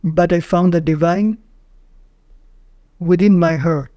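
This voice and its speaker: A man narrating a guided meditation in short, measured phrases with frequent pauses. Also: on the high side, steady tone, warm tone, no room sound, lo-fi sound.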